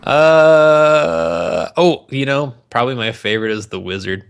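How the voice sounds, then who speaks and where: A man co-hosting a podcast in casual, joking banter, animated and quick to swear. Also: medium pitch, clean tone. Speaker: podcast co-host.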